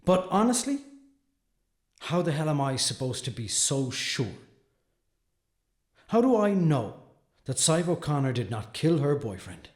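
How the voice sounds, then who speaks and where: An actor playing an earnest, troubled defense attorney in a dramatic monologue, speaking deliberately with brooding pauses. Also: male voice, a little high, darkish tone. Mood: agitated doubt. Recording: echoey, roomy sound.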